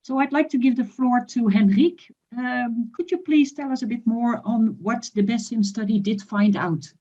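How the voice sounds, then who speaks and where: A woman's voice: on the high side, normal speed, dark tone. A woman moderating a webinar in a polite, orderly hosting style. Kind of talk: polite hosting, handing over to speakers. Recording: slightly dull, lo-fi online audio.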